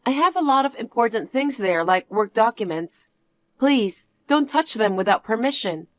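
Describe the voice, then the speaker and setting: A young wife defends herself against her husband's family, read by a woman. Her voice is expressive, rising sharply in protest. The sound is dry and intimate. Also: fairly high pitch, moderate pace, no room sound, lightly acted. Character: young working wife, composed but wronged. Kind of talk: one-voice drama reading.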